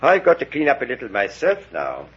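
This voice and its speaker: A man's voice: mid-range voice, huge pitch swings, fairly quick, a bit bright, breathy edge, exaggerated voice. A man playing a commanding professor-surgeon in a 1940s radio drama, giving terse orders with muttered hesitations. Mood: grave, steady. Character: gruff, commanding professor-surgeon, calm under pressure. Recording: muffled vintage 1940s radio recording.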